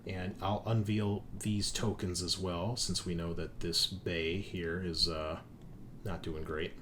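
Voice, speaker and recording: A man's voice: low voice, little pitch movement, slow pace, a bit bright, a little hiss or hum. A man casually narrating and explaining a tabletop game as game master, in an informal way.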